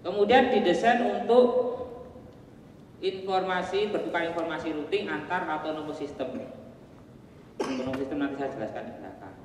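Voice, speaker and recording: A man with a Javanese accent giving a relaxed conference talk, with joking asides and plenty of fillers. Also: a little high, moderate pace, long pauses, a little hiss or hum. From Java. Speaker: conference presenter. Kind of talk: casual technical presentation. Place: large hall. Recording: echoey hall, voice from far off.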